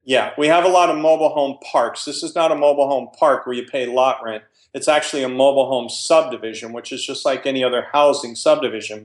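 A man's voice: mid-range voice, reverberant. A man, a real estate investor, talking casually in an interview and telling stories with frequent restarts. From New Jersey.

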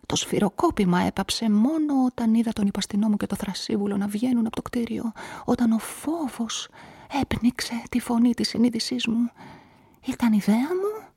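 An actress narrating as a guilt-ridden, pious spinster in a radio drama, in a hushed, confessional whisper. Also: female voice, on the high side, lively intonation, husky, full character voice. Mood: anxious. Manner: tense, confessional, anxious. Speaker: first-person narrator of a crime story.

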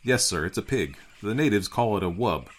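A man's voice: lowish voice, lively intonation, brisk. A man voicing a meek, nervous spaceship crewman in a storybook, deferential and apologetic.

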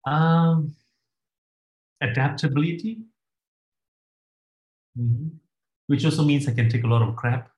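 A man's voice: fairly high pitch, slow pace, long pauses, darkish tone, dull audio. A man from Malaysia taking part in an online group session over a video call, chatty and reflective. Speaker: workshop participant. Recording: roomy video call audio.

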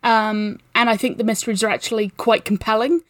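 Higher-voiced woman, playful podcast guest. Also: fairly even intonation, fairly quick, dry recording, studio quality. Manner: playful, wry, enthusiastic. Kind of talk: casual chat.

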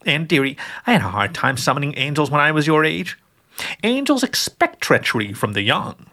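Man putting on a high old witch lady, gushing, doting, sly, lively swoops. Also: moderate pace, full character voice. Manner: gushing, calls everyone dearie.

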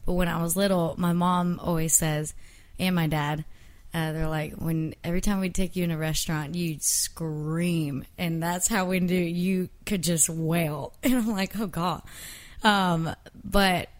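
A young woman singer as an interview guest, talking casually and softly, laughing often and trailing off with fillers. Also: fairly low pitch, steady tone, a little slow.

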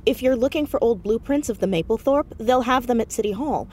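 A woman's voice: a little high, brisk, darkish tone, natural voice. A woman as a precocious, eager teenage girl detective. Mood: matter-of-fact. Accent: American. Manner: earnest, articulate, rattles off deductions in long sentences. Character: precocious fourteen-year-old girl detective, eager and confident. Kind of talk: audio drama acting.

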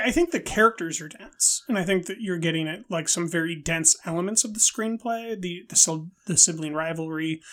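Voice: mid-range voice, a bit bright. A film podcast co-host in an analytical discussion, building wordy arguments with hesitant, repeated words.

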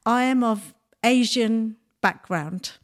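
A woman's voice: a little high, takes it slowly. A woman in her sixties who grew up in Nigeria and speaks Bengali as her first language, telling childhood stories as a guest and laughing often. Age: 60s. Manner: lively storyteller, laughs often. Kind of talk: casual interview chat.

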